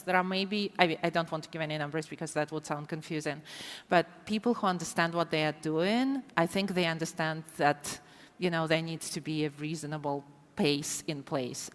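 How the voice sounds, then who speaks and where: Ukrainian-accented woman on a conference panel. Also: on the low side. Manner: repeats words when starting, earnest and thoughtful. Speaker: panel speaker. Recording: reverberant conference hall.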